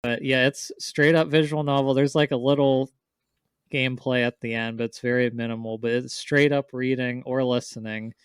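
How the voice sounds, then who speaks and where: A man's voice: medium pitch, steady tone, normal speed, clear, resonant tone, dry recording, clean studio sound. A man chatting casually as a podcast co-host, enthusiastic and rambling about his favorites.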